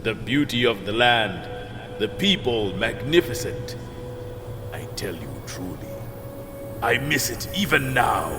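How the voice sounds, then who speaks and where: In a long, echoing reverb with music beneath comes the ghost of a man in his prime, acted by a man. He is friendly and wistful, with courteous, poetic phrasing. His delivery is slow and richly melodic, as in a fantasy audio drama. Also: mid-range voice, lively intonation, natural voice. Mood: wistful, rapturous. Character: friendly spirit of a handsome well-traveled man in his prime.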